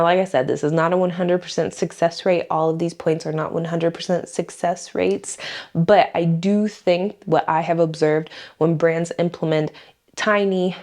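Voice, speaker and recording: A woman's voice: low voice, darkish tone. A young woman from Florida hosts a casual vlog. She has a cold and sounds congested and a little nasal, and she talks in a chatty, emphatic way with plenty of "y'all" and "um". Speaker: YouTube host. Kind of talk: casual talking-head vlog.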